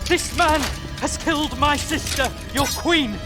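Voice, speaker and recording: A man's voice: very high-pitched, a little slow. A man playing a villainous royal who cries out in accusation in a video game cutscene. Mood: alarmed, accusing. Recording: loud music over the voice.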